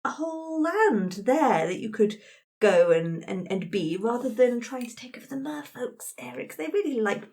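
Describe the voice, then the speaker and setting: A woman's voice: on the high side, animated, moderate pace, darkish tone. A woman improvising casually as a player in a tabletop roleplaying game. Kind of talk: casual in-character improvised chat.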